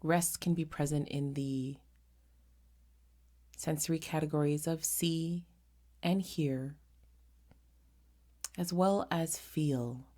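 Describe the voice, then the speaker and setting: A woman leading a guided meditation in a gentle, unhurried manner, pausing often between short phrases. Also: sits low.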